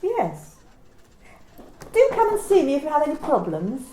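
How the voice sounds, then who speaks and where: A cold corporate manager, clipped and controlling, as a woman acts her out, speaking in a mellow, fairly high voice that rises and falls a lot. The reading sounds echoey, as if recorded in a bare room at home. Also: huge pitch swings, unhurried, a little hiss or hum, exaggerated voice. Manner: cool, corporate, condescending. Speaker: company manager. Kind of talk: amateur radio play reading.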